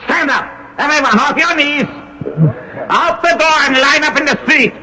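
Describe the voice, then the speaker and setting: An actor playing a harsh, domineering Gestapo officer in a radio drama, commanding and threatening in manner. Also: male voice, very high-pitched, normal speed, sharp tone, exaggerated voice. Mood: cold menace. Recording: noisy old radio drama recording.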